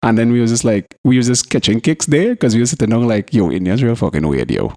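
A man with a Trinidadian accent co-hosting a podcast, bantering in casual slang and telling stories in an animated, coarse, sweary way. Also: mid-range voice, quick pace, no echo, studio quality. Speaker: podcast co-host.

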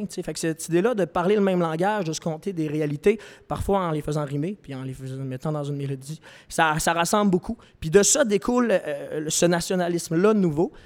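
A young man with a Québécois accent, a singer-songwriter speaking earnestly on a panel, often halting and restarting his sentences. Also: high voice, a little mellow, long reverb tail. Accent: Québécois French. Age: young adult. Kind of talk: panel discussion before a live audience.

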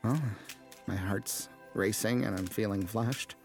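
A man playing a hapless, stubbornly optimistic ad man in a comic audio drama, with a halting, awkward, stammering delivery. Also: lowish voice, gravelly, music in the background. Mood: earnest, self-conscious. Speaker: actor.

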